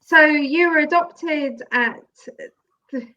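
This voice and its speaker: A woman's voice: high-pitched, slow, bright, forceful tone, muffled recording. A woman hosting an interview show, chatty and encouraging, often laughing. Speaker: podcast host. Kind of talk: casual interview.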